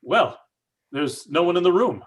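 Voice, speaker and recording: A man's voice: high voice, animated, a little slow, husky, full character voice. An actor playing a stubborn, skeptical middle-aged father in an old-time radio horror drama, blustering and combative. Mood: defiant. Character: stubborn, skeptical father, angrily sure of a hoax.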